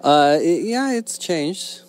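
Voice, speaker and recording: A man from Hong Kong whose first language is Cantonese, a guest artist answering in a hesitant, halting way with dry humor. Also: fairly high pitch, animated. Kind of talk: casual interview chat.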